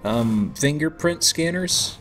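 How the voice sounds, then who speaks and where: A man giving casual, joking let's play commentary in a rambling, halting way. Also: fairly high pitch, expressive, fairly bright tone. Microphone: voice-over microphone over game audio. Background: game music.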